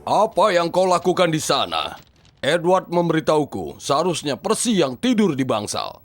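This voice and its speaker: A man voicing a bossy, excitable railway controller with a husky voice in a children's cartoon dub. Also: fairly high pitch, normal speed, no room sound, faint music behind, heavily acted. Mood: stern. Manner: authoritative, exclamatory.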